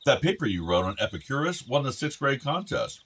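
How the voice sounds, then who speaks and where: A man's voice: mid-range voice, sing-song, a little fast, a bit bright. A male actor playing a fond, outdoorsy middle-aged father of two grown sons in a naturalistic radio play. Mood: fond, proud. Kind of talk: naturalistic radio drama dialogue.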